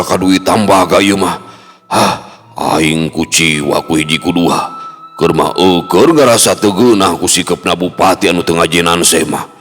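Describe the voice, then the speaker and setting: A man voicing an angry, domineering male leader of a band of envoys in a folk story, scolding gruffly in coarse, commanding speech. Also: low voice. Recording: long hall reverb, music underneath.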